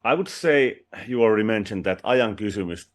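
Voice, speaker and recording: Finnish-accented man, low voice. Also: animated, brisk. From Finland. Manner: friendly, with restarts and light laughs. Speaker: musician guest. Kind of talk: casual interview chat.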